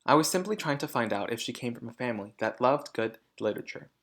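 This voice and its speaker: A man voicing a polite, restrained woman schoolteacher in a storybook, speaking in a measured, earnest way. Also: medium pitch, animated, a bit bright.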